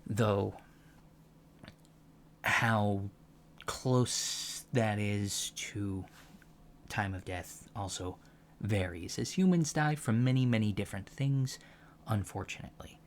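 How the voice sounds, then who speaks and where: Alien lecturer for soft-spoken ASMR , male performer: earnest, gentle, halting, slow-paced, creaky low voice. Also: slight background noise. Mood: earnest, calm. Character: gentle, earnest male alien college professor teaching about humans. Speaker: ASMR roleplayer.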